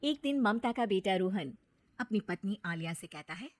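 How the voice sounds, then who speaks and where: A woman's voice: mid-range voice, lively intonation, moderate pace, darkish tone, dry recording. A woman narrating a scripted moral story as the storyteller.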